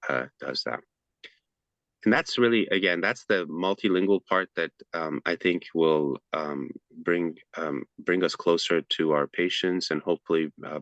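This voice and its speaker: A man's voice: very deep voice, a bit bright, dry recording. A man giving a casual presentation over a video call, chatty and loose, with frequent fillers and restarts.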